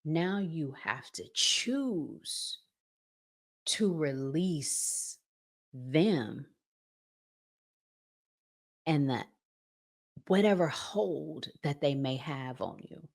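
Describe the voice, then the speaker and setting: A woman with a low voice speaks at a slow, deliberate pace, as a host giving advice straight to her audience. She is conversational but emphatic, repeating key phrases and posing rhetorical questions. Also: lively intonation, creaky. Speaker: relationship vlog host. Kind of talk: conversational relationship advice monologue.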